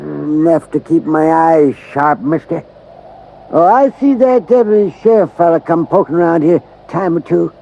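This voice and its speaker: An actor playing a scrappy old backwoods hermit with a creaky voice and a folksy, rambling rural American drawl in an old-time radio drama. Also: male voice, on the high side, expressive, normal speed. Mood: proud, easygoing. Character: scrappy old backwoods hermit man, wary, boastful, loyal. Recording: muffled, hissy vintage radio recording.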